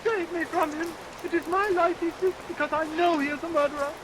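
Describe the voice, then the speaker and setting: Plucky youth: extremely high, shrill, earnest, declamatory, old radio melodrama, noisy recording. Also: male voice, fairly even intonation, brisk. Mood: desperate, accusing. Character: earnest, excitable adolescent boy, plucky and pleading. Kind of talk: 1940s radio melodrama acting. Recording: noisy vintage radio recording, rain over it.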